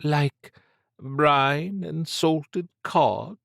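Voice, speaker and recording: A man's voice: a little high, drawn-out words, gravelly, a bit bright, dry recording, clean studio sound, pitched above the performer's own voice, exaggerated voice. A man voicing a slim, androgynous occult schemer with an upper-class English accent and an arch, urbane, needling manner. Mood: puzzled.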